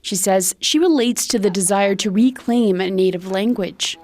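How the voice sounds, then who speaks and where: A female reporter narrates a news package in a measured, scripted read. Her pitch sits right in the middle of the range. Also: darkish tone.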